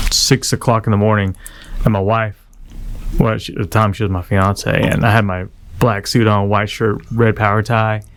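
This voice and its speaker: A man's voice: fairly low pitch, clear, resonant tone. A man hosting a podcast, chatting casually, agreeable, telling a short personal story. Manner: agreeable, lots of short backchannel replies. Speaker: podcast host.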